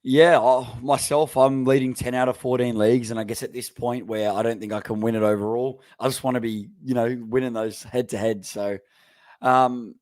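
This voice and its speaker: A man's voice: medium pitch, moderate pace. A man co-hosts a laid-back sports podcast in a mid-range voice. He rambles easily, full of ums and restarts. The sound is dry and close, with no room echo. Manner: relaxed, rambling, with many fillers. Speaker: podcast co-host. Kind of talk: casual sports podcast chat.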